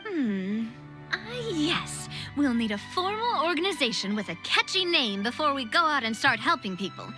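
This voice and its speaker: A woman's voice: high voice, big pitch swings, normal speed. A woman playing a confident, kind young queenly leader in a video game, with a theatrical, upbeat delivery. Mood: playful, assured. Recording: music under the voice.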